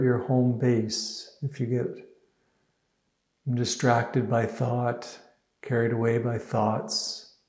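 A man teaches guided meditation with a dark, relaxed voice. His delivery is very slow and soothing, with little rise or fall in pitch and gentle pauses between phrases. The sound is echoey.

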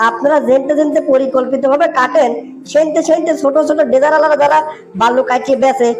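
A cheeky cartoon underling acted by a woman, a little man with a very high voice and a northern Bangladeshi accent. He sounds respectful on the surface but keeps needling and challenging. There is music behind him. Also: close to an everyday voice. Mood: reasoning, challenging. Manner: needling, deferential yet challenging. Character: cheeky, questioning male underling of an official. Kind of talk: comic cartoon dialogue.

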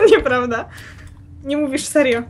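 A woman's voice: sits high, sing-song, takes it slowly, a little mellow. A woman chatting while playing a game with a friend, giggling often and playfully complaining. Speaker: co-player. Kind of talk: casual let's-play chat.

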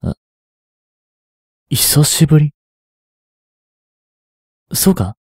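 A man in his twenties playing a reluctant, guilt-ridden young hitman in an audio drama, softly spoken and halting. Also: a little high, lively intonation, breathy edge, no room sound, studio quality, heavily acted. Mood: feigned casual. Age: 20s. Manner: halting, subdued delivery. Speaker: voice actor. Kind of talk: Japanese audio drama monologue and dialogue.

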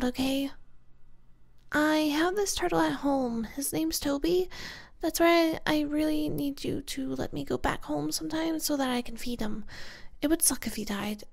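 A woman playing an easygoing, playful young kidnap captive who loves gaming, speaking softly and casually in an ASMR roleplay audio drama. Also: high-pitched. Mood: hesitant, pleading. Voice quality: soft. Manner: casual, teasing, conversational.

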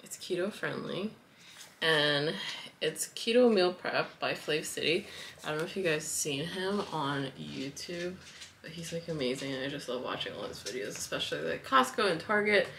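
A woman's voice: sits low, expressive, normal speed, a bit bright, slight background noise. A woman in her thirties chatting casually through a grocery haul in her home kitchen, with lots of fillers. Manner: chatty, loose, many fillers and likes. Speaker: wellness vlogger. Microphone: camera on the counter, moving in and out of frame.

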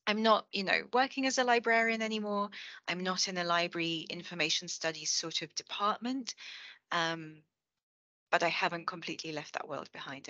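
A woman's voice: on the low side, sharp tone, dry recording. A woman in her thirties giving a chatty online lecture over a video call, with plenty of fillers and self-corrections. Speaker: guest lecturer. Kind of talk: online presentation. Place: home room, on a video call.

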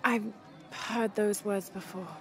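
A determined young heroine, played by a woman in a naturalistic video game performance. Her medium-pitched voice is earnest and restrained, with slight ambient noise. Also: steady tone, slow, natural voice. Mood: puzzled, wary.

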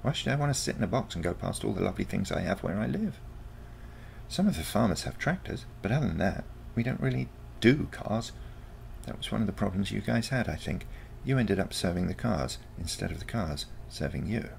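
A confident teenage granddaughter from a storybook future, acted out by a male reader. The delivery is lively and swooping with heavy creak, yet matter-of-fact and unconcerned. There is clear noise behind it. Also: fairly low pitch, a little fast, voice pushed up. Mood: earnest. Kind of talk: storybook character dialogue.

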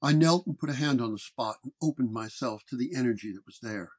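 A man reading a scripted first-person detective horror story in a measured storytelling manner. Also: mid-range voice. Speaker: narrator.

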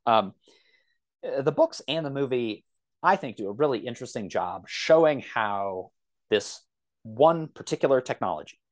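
A man's voice: medium pitch, lively intonation, moderate pace. A man in his thirties explaining things casually as a podcast guest, rambling and often correcting himself as he goes. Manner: rambling, self-correcting, hedging.

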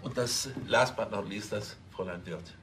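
An actor playing a flustered, self-important senior police officer, stammering and officiously polite in a TV drama. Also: male voice, fairly low pitch, normal speed, a bit bright, slight background noise, natural voice. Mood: flustered, jovial.

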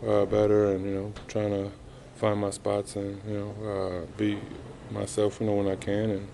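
A man in his thirties answering reporters after a game, halting and subdued, with many fillers. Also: fairly low pitch, completely flat delivery, gravelly, dark tone, slight background noise. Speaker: basketball player at a postgame press conference. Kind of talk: postgame press interview. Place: arena press room, indoors. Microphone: reporters' handheld mics held close.

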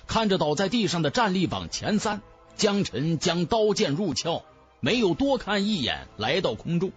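A male audiobook narrator tells a fantasy tale with theatrical emphasis. His pitch sits high and swings widely, and faint music plays underneath. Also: sing-song, moderate pace, breathy edge, highs cut off. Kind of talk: dramatic scripted narration of a fantasy novel.

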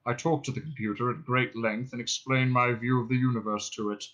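A man voicing a deeply depressed, self-pitying robot in an audiobook, in a muffled, dejected drone. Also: steady tone, fairly bright tone, lightly acted. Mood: gloomy, dejected. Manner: dejected, slow, gloomy delivery. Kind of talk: audiobook character voice.

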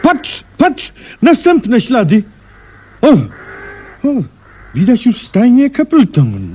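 An actor playing a detective's eager, doubting and excitable male companion in a 1970s Polish radio drama. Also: very high voice, slow, breathy edge, full character voice. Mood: excited. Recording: faintly noisy 1970s radio recording.

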